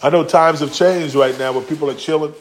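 A man's voice: a little high, steady tone, fairly quick, slight background noise. A man preaching a casual, slangy sermon in a church, acting out quotes and talking directly to the congregation. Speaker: pastor. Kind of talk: conversational sermon.

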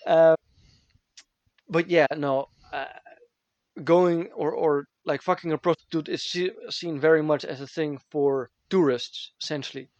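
A Dutch man speaking English with his accent, his voice sitting a little high for a man. He rambles loosely with lots of fillers and giggles. The sound is dull and very dry. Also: slow, dry recording. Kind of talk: casual chat.

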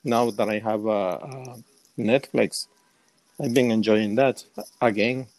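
Slow-paced older Salvadoran man. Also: on the low side, steady tone, darkish tone, dry recording, rough recording. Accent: Salvadoran Spanish. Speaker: interview guest, semi-retired. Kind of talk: casual interview answers.

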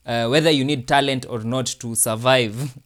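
A man's voice: medium pitch, fairly even intonation, moderate pace, a bit bright. A man co-hosting a casual podcast chat, from Kenya, steering the talk with questions. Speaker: podcast co-host.

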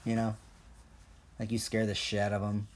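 A man's voice: on the low side, completely flat delivery, moderate pace. A young man, originally from Colombia, rambling in a profane, jokey, self-mocking rant as a podcast host. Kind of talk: casual rambling monologue.

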